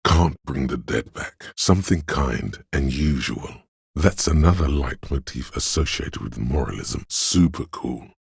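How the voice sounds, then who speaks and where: A man voicing a pompous, erudite inner voice of artistic imagination in a video game, deliberate and theatrical, with a gravelly, husky voice. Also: rumbling low, lively intonation, no room sound, clean studio sound, exaggerated voice. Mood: musing.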